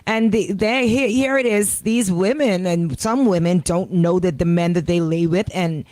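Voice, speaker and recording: A woman's voice: on the low side, moderate pace, a little mellow, no room sound. A woman with a Caribbean accent co-hosting a casual radio discussion in a blunt, sardonic manner. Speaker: radio host.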